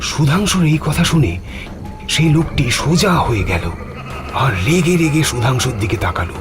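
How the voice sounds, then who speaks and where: Animated male horror story narrator. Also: fairly high pitch, moderate pace. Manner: dramatic, suspenseful. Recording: music behind the voice.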